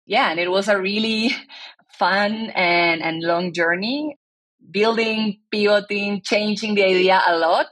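A woman's voice: on the low side, fairly bright tone. A woman, a startup founder speaking earnestly as an interview guest and often restarting her sentences. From Argentina. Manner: earnest, searching for words with many restarts. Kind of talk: casual conversational interview.

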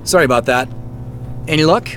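A man's voice: a little high, a little fast, fairly bright tone, a little hiss or hum, natural voice. A man acting in an audio drama as an uneasy amateur sleuth, hesitant and dryly understated. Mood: apologetic. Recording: clear music bed underneath.

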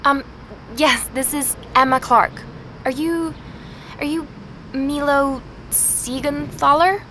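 A woman's voice: sits high, fairly even intonation, pushed and bright, exaggerated voice. Hesitant young woman investigator, street noise. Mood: flustered, insistent. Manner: hesitant, halting, with repeated words. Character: earnest young woman investigator recording her search, rattled and puzzled. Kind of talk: naturalistic audio drama, recorded diary and phone calls. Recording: street and vehicle noise behind.

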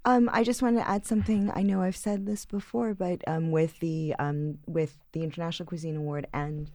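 A woman's voice: fairly low pitch, flat delivery, a little fast, a little mellow, no echo, studio quality. A woman co-hosting a podcast, speaking hesitantly with many fillers. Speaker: podcast host. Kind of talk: casual chat.

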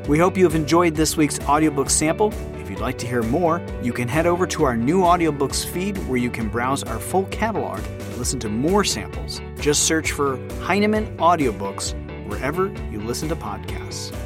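A man's voice: fairly high pitch, lively intonation, normal speed. A man reading a scripted podcast outro as an announcer. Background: music.

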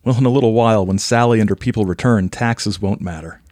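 A man's voice: fairly low pitch, fast, darkish tone, no room sound, lightly acted. An actor playing a sincere, naive man who believes in aliens, speaking in a hesitant, guileless way in an audio play. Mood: mild, sincere. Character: naive, sincere male alien contactee and meditation leader.

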